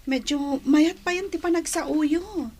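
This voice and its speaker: A woman playing a remorseful, humbled grown daughter in an audio drama, with a husky, breathy delivery. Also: sits high, slow pace, a little mellow. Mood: humbled, apologetic.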